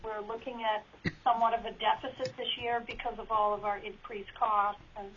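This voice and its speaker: A woman's voice: fairly high pitch, flat delivery, moderate pace, a bit bright. A woman school board member giving testimony at a legislative hearing, plain and hesitant with many ums. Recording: lo-fi teleconference line with faint hiss.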